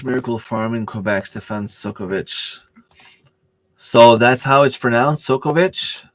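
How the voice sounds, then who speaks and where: A man's voice: mid-range voice, creaky, fairly bright tone, no echo. A man whose first language is French, hosting a casual live stream. He searches for words, restarts his sentences and answers viewer comments as they come in. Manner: hesitant, searching for words with many fillers and restarts.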